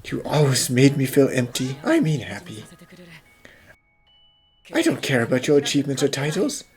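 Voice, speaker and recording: A woman's voice: extremely low pitch, very animated, moderate pace, a little mellow. A woman voicing an earnest, devoted young male suitor in an anime dub. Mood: tender, pleading. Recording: background music under the dialogue.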